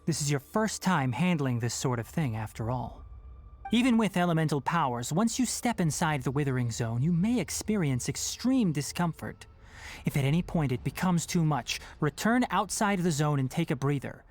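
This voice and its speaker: An actor playing a calm, knowledgeable young forest ranger in a video game, speaking in a measured, instructive way with authority. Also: male voice, on the high side. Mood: grave, cautioning. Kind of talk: English video game voice acting.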